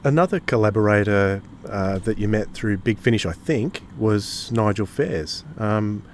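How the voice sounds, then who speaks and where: A man's voice: on the low side, unhurried, a little hiss or hum. A man hosting a podcast interview, asking questions in a casual, tentative way.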